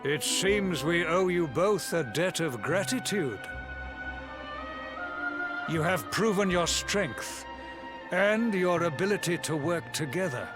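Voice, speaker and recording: Noble king, formal, stately, unhurried, video game cutscene, background score. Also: high voice, animated, over music. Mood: solemn, resolute. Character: dignified, solemn king rallying his loyal servants. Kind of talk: dramatic video game acting.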